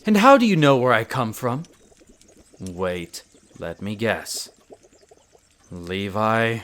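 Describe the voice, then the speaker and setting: A man's voice: mid-range voice, slight background noise. A man playing a proud, prickly winter elf archaeologist who talks formally and haughtily in a scripted audio roleplay addressed to the listener. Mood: guarded, irritated. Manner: haughty, formal, exasperated, theatrical pauses.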